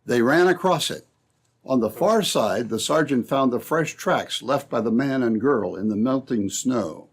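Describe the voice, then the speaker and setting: Husky male old-time radio narrator. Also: mid-range voice, expressive, measured pace.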